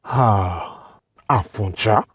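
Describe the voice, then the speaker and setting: A man voicing a resentful, scheming prince turned king in an animated folktale, with a Nigerian accent and a brooding, theatrical delivery. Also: fairly low pitch, a little hiss or hum. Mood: brooding, bitter. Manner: brooding, theatrical soliloquy with drawn-out words. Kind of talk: animated folktale character acting.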